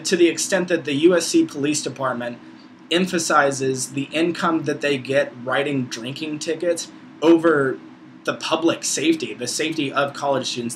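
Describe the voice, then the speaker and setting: Male, about 20, American accent, informal college vlogger, opinionated and profane, bright forceful voice, slightly noisy recording. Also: a little high. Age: around 20. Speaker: video blogger, college student. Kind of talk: casual opinionated vlog monologue.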